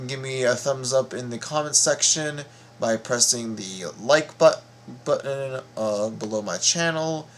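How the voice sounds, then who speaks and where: A very tired man giving casual reaction commentary, halting and rambling as he repeats his words. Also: medium pitch, a bit bright, a little hiss or hum. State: very tired, half asleep. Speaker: video host reacting to game voice lines.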